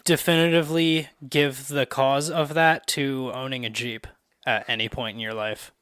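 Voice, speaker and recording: A man with a clean, smooth, mid-pitched voice chats as a podcast co-host. The sound is dry, and he banters casually, swearing as he goes. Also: steady tone, moderate pace, a bit bright, no room sound. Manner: bantering, profane. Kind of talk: casual chat.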